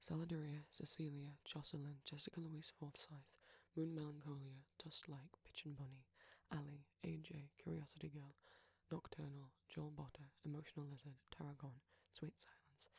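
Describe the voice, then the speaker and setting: Soft, husky ASMR voice reading a list. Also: mid-range voice, little pitch movement, moderate pace, no room sound. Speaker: audio roleplay creator thanking supporters.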